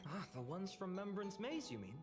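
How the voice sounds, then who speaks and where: A woman's voice: mid-range voice, sing-song, moderate pace, natural voice. A woman playing an eager, friendly, earnest youth in a video game. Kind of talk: video game character dialogue. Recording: reverberant, music behind.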